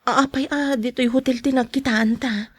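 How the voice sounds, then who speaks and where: A woman's voice: high-pitched, fairly even intonation, moderate pace, breathy edge. A woman acting a meek, guilt-ridden young wife and mother in a podcast drama, hesitant and pleading. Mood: reluctant.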